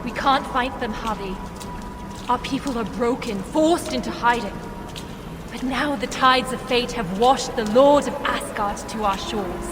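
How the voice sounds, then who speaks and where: A woman's voice: a little high, unhurried, close to an everyday voice. An actress playing an earnest, firm dwarf woman in a fantasy video game, solemn and no-nonsense. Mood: solemn, pleading. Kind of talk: video game cutscene acting. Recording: noisy ambience, long reverb.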